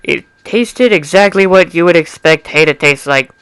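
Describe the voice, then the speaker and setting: A man's voice: on the high side, normal speed, fairly bright tone, smooth, no room sound. A young man giving an amateur dramatic reading of fan fiction, informal and often breaking off into chatty, apologetic asides. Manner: informal, self-interrupting, apologetic.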